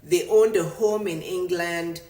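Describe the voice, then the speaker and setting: A woman's voice: lowish voice, measured pace, darkish tone. A middle-aged woman business coach from Nigeria, a native Yoruba speaker, giving an emphatic motivational talk in which she repeats her points for stress. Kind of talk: motivational talk answering a viewer. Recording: roomy echo.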